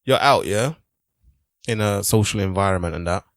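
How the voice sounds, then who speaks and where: A man hosting a casual group podcast chat, posing questions in halting, hesitant phrases. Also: on the low side, creaky. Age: adult, parent of a daughter. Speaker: podcast host.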